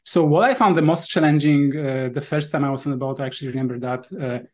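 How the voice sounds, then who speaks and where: A man's voice: fairly high pitch, quick pace. A man in his thirties from Poland with a Polish accent, a guest on a video call, explaining things thoughtfully with many fillers and restarts. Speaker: guest, VR sailing app developer. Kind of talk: casual interview answers.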